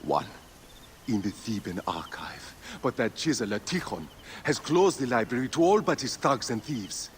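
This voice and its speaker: A man's voice: medium pitch, sing-song, normal speed, a little hiss or hum, close to an everyday voice. An actor playing a devout male sun-cult leader who chants hymns and speaks with solemn fervor in a video game. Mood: grave. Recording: game audio with loud score.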